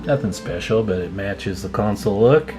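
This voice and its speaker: Low-voiced man chatting over guitar music. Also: animated, normal speed. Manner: informal, folksy, addressing viewers as guys. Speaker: radio repair hobbyist and video host. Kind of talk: casual hands-on demonstration chat. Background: plucked guitar music.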